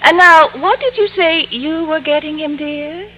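An actress playing a vain, catty rival schoolteacher in an old-time radio sitcom, with an affected, sugary, condescending manner. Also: female voice, high-pitched, a little slow, pushed and bright, poor audio. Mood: catty, syrupy.

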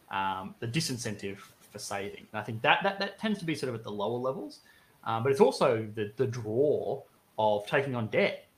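A man's voice: fairly low pitch, a bit bright. A man in a casual economics discussion, conversational and given to voicing imagined speakers. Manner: conversational, acts out hypothetical speakers.

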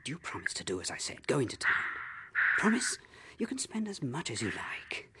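A man's voice: medium pitch, wildly swooping pitch, brisk, in a whisper. A man playing a brusque, condescending English businessman husband in a British radio horror drama, impatient and placating by turns. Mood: placating, dismissive.

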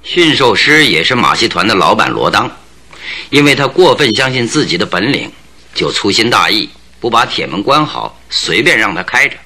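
An actor playing a shrewd, confident detective in a Chinese radio drama, speaking in a theatrical, probing and needling manner. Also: male voice, on the low side, sing-song, moderate pace, bright, forceful tone, husky, heavily acted. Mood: probing, assured.

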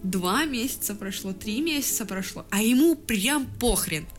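A woman's voice: a little high, expressive, normal speed, soft background music. A young woman chatting casually as a podcast co-host, laughing often and swearing freely.

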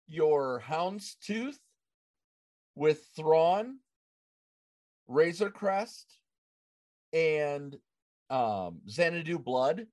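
A man co-hosting a casual gaming podcast, speaking deliberately with pauses between his phrases. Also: fairly high pitch. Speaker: podcast co-host.

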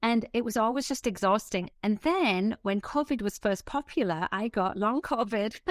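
A woman's voice: on the high side, lively intonation, normal speed, dry recording, studio quality. Chatty Northern English woman, blunt and sweary. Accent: Northern English with some North American influence. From northeast of England. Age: 50s. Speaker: podcast guest, fitness expert and author. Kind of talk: casual chat, telling anecdotes.

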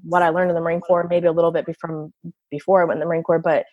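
A woman's voice: on the low side, little pitch movement, very fast, dry recording, lo-fi sound. Big-voiced woman, chatty, dry sound. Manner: big voice, chatty with many fillers. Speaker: enologist. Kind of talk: casual interview talk.

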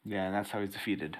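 A man co-hosting a casual podcast, recapping a comic book with dry jokes. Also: lowish voice. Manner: laid-back, recaps plot with dry jokes. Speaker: podcast co-host.

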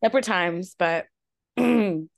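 A young woman with a raspy voice co-hosting a casual podcast chat, rambling and relaxed. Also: mid-range voice, normal speed, no echo, clean studio sound. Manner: relaxed, rambling, laughs often. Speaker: podcast co-host.

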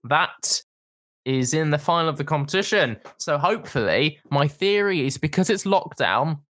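A young man co-hosting a podcast, chatting casually and teasing his friend with quick jokes. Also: on the high side, animated, fairly bright tone, no room sound, studio quality. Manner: joking, teasing. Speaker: podcast co-host. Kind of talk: casual chat.

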